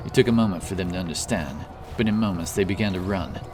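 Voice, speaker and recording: A very low-voiced man narrates a fantasy tale. His delivery is measured and solemn, like a dramatic audiobook, with clear music playing behind him.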